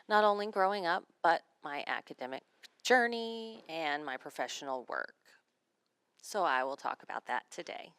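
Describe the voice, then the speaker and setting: A woman with a notably low, deep voice gives a spoken interpretation of a seminar lecture. She moves through it in measured phrases, and the sound is close and dry with little room around it. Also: lively intonation, fairly bright tone, smooth, dry recording. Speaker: sign language interpreter voicing a signed lecture.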